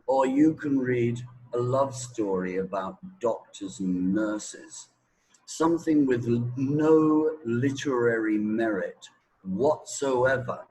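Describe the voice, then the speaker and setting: Slow-talking man explaining like a teacher. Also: medium pitch, rough recording. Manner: didactic, checking with "right?", "okay?", "yeah?". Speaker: interviewed language teacher. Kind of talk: conversational explanation in an interview.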